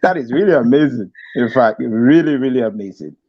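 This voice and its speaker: A man's voice: mid-range voice, lively intonation, a little fast, dry recording. A man with a Nigerian accent hosting a casual interview, playfully repeating words for emphasis, on muffled audio. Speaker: interviewer, host. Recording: dull, lo-fi audio.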